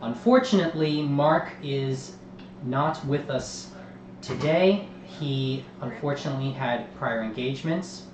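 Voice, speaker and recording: A man hosting a live tabletop role-playing stream as game master, moving from an upbeat welcome into a steady recap of the story. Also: medium pitch, a little slow, echoey room, noisy background. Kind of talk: livestream tabletop game narration.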